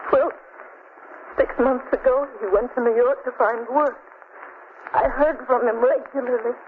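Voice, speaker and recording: A woman playing an anxious, distraught young woman in old radio melodrama, with tremulous, dramatic pauses. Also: a little high, steady tone, lightly acted. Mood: anxious, sad. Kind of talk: 1940s radio melodrama acting. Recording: tinny, noisy old radio recording.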